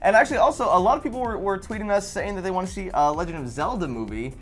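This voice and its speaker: A woman's voice: medium pitch, rapid-fire, faint music behind. A young woman co-hosting a casual gaming panel show and reading out viewer comments. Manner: lively, reads viewer comments. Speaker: show co-host.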